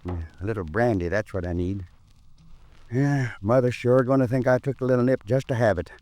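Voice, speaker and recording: An actor playing a shaken, folksy liquor store owner in a 1950s radio drama, rambling in clipped phrases on a noisy old recording. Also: male voice, medium pitch, a little fast, a little mellow, lightly acted. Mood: rattled.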